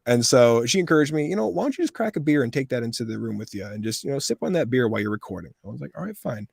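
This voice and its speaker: A man's voice: mid-range voice, rapid-fire, gravelly, breathy edge. A man in his thirties, a voice actor telling stories in a casual interview and acting out the voices he quotes. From USA. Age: 30s. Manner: animated storyteller, acts out quoted voices. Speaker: voice actor guest.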